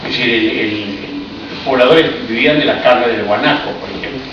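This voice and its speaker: A man with an Argentine accent lectures to an audience, explaining things patiently and adding dry, ironic asides. His voice sits at an ordinary middle pitch. It comes from a distance in a heavily echoing hall, and the recording sounds muffled, with a steady hiss of room noise. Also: normal speed. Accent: Argentine Spanish. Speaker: speaker giving a public talk. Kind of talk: history lecture. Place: cultural hall in Paris. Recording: echoey, muffled, noisy room recording from afar.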